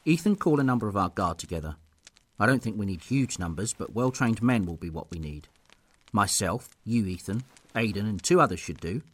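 A man's voice: on the low side, animated, brisk, fairly bright tone, breathy edge. A man playing a stern but loving noble lord and father in an audio drama, speaking in a formal, archaic, commanding way. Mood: firm, resolved.

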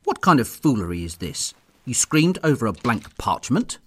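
A man playing a stern, dismissive middle-aged duke and father with a husky, gruff voice in an audio drama. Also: medium pitch, big pitch swings, normal speed, a little hiss or hum, close to an everyday voice. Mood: indignant.

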